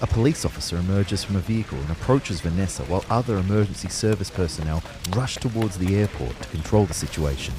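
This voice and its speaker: An actor playing a bewildered male ghost, narrating his afterlife in a brooding, measured way for a scripted audio drama. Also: low voice, expressive, music in the background. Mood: somber, tense.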